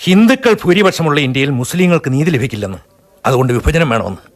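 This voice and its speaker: A man playing a sober, peace-minded older Sikh man in a Malayalam radio drama, speaking in a grave, measured way and also narrating. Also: on the high side, big pitch swings. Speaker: narrator and Chani Singh, a Sikh elder.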